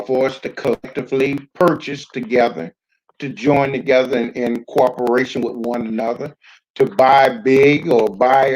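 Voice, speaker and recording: Older male community speaker, mid-pitched, unhurried and hesitant, recalling past days in an unscripted address. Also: darkish tone, poor audio. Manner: halting and deliberate, with frequent long hesitations. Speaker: community speaker recounting his experience as a pioneer. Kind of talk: public address, reminiscing unscripted.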